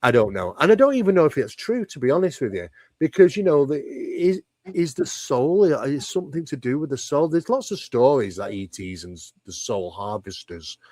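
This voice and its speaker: A man's voice: mid-range voice, very animated, moderate pace, few pauses, a little mellow, no room sound, clean studio sound. A man speaking as an interview guest, explaining conversationally and emphatically, with rhetorical questions and repeated phrases. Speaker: interview guest, paranormal researcher.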